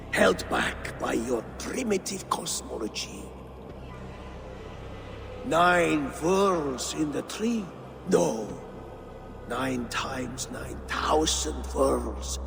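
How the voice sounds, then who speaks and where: A man playing a grandiose, fanatical conspirator lord in a video game, speaking like a pompous, measured orator. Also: mid-range voice, exaggerated voice. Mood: contemptuous. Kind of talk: theatrical villain speech in a video game. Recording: clearly noisy game ambience.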